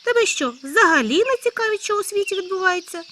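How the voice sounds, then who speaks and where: A woman voicing an excitable, chatty male hare in a storybook tale, talking high and loud. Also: fast, a little hiss or hum, exaggerated voice. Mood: excited. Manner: eager, exclaiming, high and loud. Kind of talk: storybook character voice.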